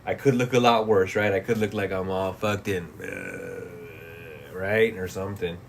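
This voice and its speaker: A relaxed man in his late thirties talks to his stream chat in a low voice, swearing casually and trailing off into quiet mumbles. A faint hum of room ambience sits behind him. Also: unhurried. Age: 30s. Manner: laid-back, profane, laughs often, trails off into mumbles. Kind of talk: casual livestream chat, reading chat comments.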